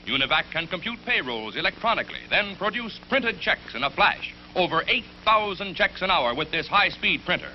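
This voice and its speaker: High, lively male vintage announcer. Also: fairly quick, few pauses. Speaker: commercial announcer. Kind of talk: vintage scripted ad narration. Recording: dull vintage ad audio, faint hum.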